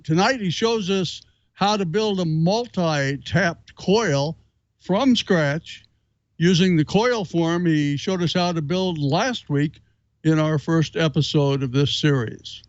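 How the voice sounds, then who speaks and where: Hobby show host, male, higher-pitched, measured pace, slightly muffled recording. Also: dry recording. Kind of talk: presenting segments on a hobby show. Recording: dull, dry lo-fi sound.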